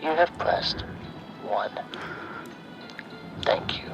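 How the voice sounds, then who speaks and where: A man playing a sinister automated phone survey voice in a horror ASMR roleplay, breathy and often near-whispered, speaking in measured, formulaic announcements. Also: highs cut off, music in the background. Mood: cold, clipped.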